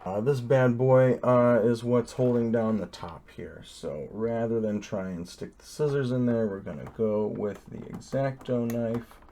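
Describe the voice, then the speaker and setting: A man's voice: mid-range voice, steady tone, a little slow, hardly any pauses, warm tone, a little hiss or hum. A man casually talking through a toy unboxing, rambling easily with many ums and uhs.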